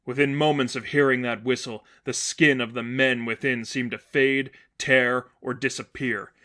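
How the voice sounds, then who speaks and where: A male narrator reads dramatic fiction in a mid-range voice. His delivery is measured and earnest, keeping up suspense as he moves through scripted prose. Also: mid-range voice, steady tone, bright, forceful tone. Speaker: narrator reading fan fiction.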